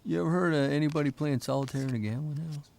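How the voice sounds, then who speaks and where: An actor playing a weary, wry 1930s American newspaper columnist in an audio drama. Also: male voice, a little high, fast talker, creaky, darkish tone, slight background noise. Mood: playful, musing. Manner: exasperated, dry, wry. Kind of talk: naturalistic audio drama acting.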